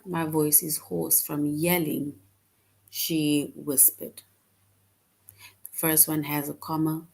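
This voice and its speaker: A woman teaching a children's grammar lesson online, reading out answer choices slowly and patiently. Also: sits low, vocal fry, low-quality recording. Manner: slow, patient, reads answer options aloud.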